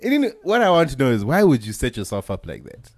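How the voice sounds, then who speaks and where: A man co-hosting a relaxed podcast chat. His voice is mid-pitched but very lively in melody, swinging up and down as he jokes. He talks easily and teasingly. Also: quick pace. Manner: laid-back, puts on a low crooning "voice of love" for laughs. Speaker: podcast co-host. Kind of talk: casual chat.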